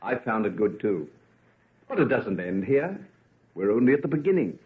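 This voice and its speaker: An actor playing an impatient, blunt, obsessive scientist in old-time radio drama, in a theatrical manner. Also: male voice, lowish voice, big pitch swings, normal speed. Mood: fond, gruff. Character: brusque, driven male scientist, obsessive and teasingly gruff. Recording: muffled phone-like old radio recording.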